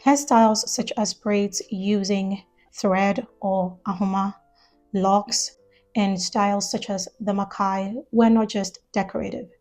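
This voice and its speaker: A woman from Ghana talks through her topic in a measured, explanatory way, as in a video essay. Her voice sits at an ordinary middle pitch, and soft music plays faintly beneath her. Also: steady tone. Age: adult, lived years in the US.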